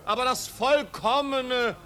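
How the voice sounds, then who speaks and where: An actor playing a snobbish, pompous marquis who ceremoniously hosts a lavish party in a 1950s German radio play. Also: male voice, very high-pitched, slow pace, pushed and bright, heavily acted. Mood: pompous, festive. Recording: 1950s radio recording, noisy crowd. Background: noisy party crowd.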